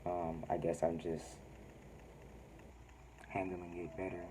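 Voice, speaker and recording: A man talking casually in a personal vlog update, with a mild, laid-back, halting delivery. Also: low-pitched, level tone, noisy background. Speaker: vlogger.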